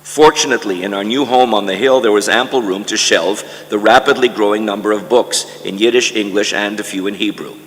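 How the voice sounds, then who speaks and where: Older man, bright forceful voice, from Canada, scripted memoir lecture, deliberate rhetorical delivery, long hall reverb. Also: on the low side. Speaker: guest lecturer reading a memoir. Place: large indoor conference hall.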